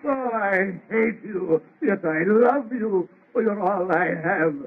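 Man-made monster, raspy and theatrical, bitter and lonely, vintage radio horror on lo-fi narrow sound. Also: sits high, slow, full character voice. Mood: pleading. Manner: declamatory, anguished, theatrical. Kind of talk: 1930s radio horror acting. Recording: muffled, lo-fi vintage radio recording.

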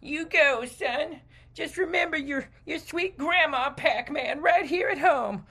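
A man voicing a sweet, doting old grandma in a parody movie trailer, fond and drawn-out. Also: extremely high pitch, pushed and bright, voice pushed up, heavily acted. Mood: tender.

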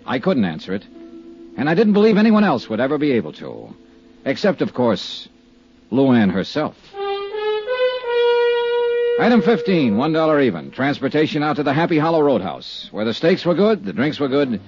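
An American actor playing a world-weary insurance investigator who narrates a 1950s radio drama in a dry, terse, hard-boiled way. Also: male voice, mid-range voice, very animated, moderate pace, pauses often, a little mellow, natural voice. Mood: somber, reflective. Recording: muffled vintage 1950s radio recording, music behind.

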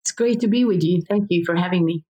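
A woman's voice: fairly low pitch, quick pace, mellow. A middle-aged woman with a Hungarian accent, an academic guest telling her story conversationally. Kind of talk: conversational personal account.

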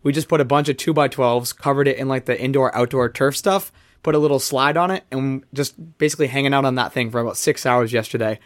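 A hoarse young man hosting a podcast, chatting in an upbeat, easygoing way. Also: mid-range voice, fast talker, no room sound, clean studio sound. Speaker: podcast host. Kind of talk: casual chat and read ad.

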